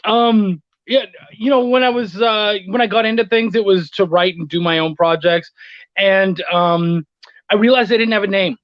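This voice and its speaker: A man's voice: high-pitched, normal speed, no echo. A middle-aged man chatting casually as an interview guest, telling anecdotes and acting out bits of dialogue, on lo-fi livestream audio. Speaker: guest, freelance editor and writer.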